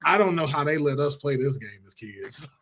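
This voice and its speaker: A man with an American accent and a fairly high voice hosts a casual podcast. His talk is animated and full of slang and swearing, like banter among friends. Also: normal speed. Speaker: podcast host. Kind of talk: casual podcast chat about movies and games.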